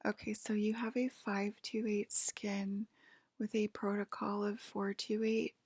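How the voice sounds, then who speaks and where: A woman playing a female alien abductor in an ASMR roleplay, whispering in a breathy, halting, gently official clinical manner. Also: mid-range voice, dry recording. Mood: calm, curious.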